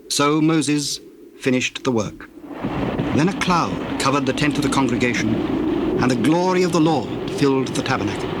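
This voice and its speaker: A man narrating dramatized scripture in a solemn, measured and deliberate way. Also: mid-range voice. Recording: faint noise under the voice.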